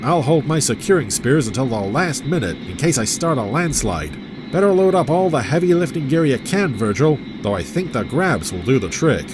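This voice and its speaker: A man voicing a calm, dutiful young male rescue pilot who reports in a businesslike way. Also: medium pitch, moderate pace, over music, pitched above the performer's own voice, close to an everyday voice. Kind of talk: audio adventure story reading.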